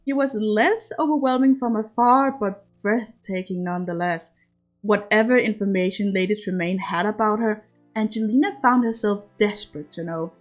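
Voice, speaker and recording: A woman's voice: medium pitch, moderate pace, soft background music. A woman narrating a piece of fiction as an amateur audiobook-style reader. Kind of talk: scripted story narration.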